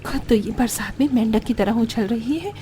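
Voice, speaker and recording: Woman doing a young daughter-in-law, high voice, longing, meek, grumbling, cartoon family drama. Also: moderate pace, dark tone, slight background noise, close to an everyday voice. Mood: resentful muttering. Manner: meek to elders, grumbling alone. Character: young put-upon daughter-in-law, longing and quietly resentful.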